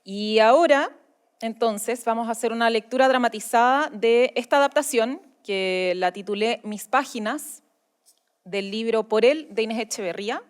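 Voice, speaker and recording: A woman's voice: on the high side, moderate pace, clear, resonant tone. A woman with a Chilean accent presenting live as an event host, informal and playful. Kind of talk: live presentation, casual chat.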